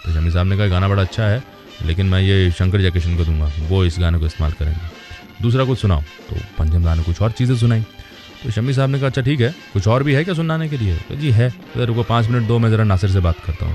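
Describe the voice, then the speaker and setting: A male radio host speaks in a fairly low voice over background music. He tells stories in a conversational way, introducing old film songs and voicing the dialogue of the people in his anecdotes.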